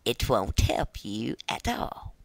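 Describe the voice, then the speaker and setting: A reader voicing a wicked old witch who eats children, speaking slowly and sometimes in a whisper. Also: medium pitch, sing-song, creaky, pitched below the performer's own voice, exaggerated voice. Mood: menacing. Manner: slow, drawn-out, sometimes whispered.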